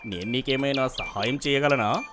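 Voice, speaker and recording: A woman's voice: extremely low pitch, normal speed, a bit bright, faint music behind, voice pushed down. A woman voicing a big old magic tree in a children's story, proud, self-assured and kindly. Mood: proud, reassuring.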